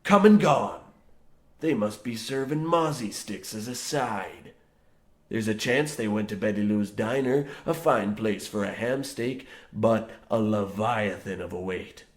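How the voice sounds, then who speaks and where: A man's voice: lowish voice, a little slow, natural voice. Man acting folksy old coal mine foreman. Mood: grim, folksy. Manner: archaic folksy dialect, ye and tells you. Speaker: radio play actor. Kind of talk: old-time radio horror comedy acting.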